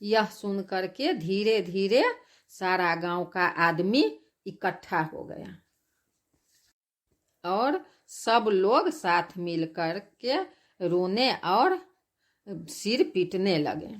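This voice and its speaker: Female folk tale narrator for animation: mid-range voice, extremely unhurried, halting. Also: medium pitch. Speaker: storyteller.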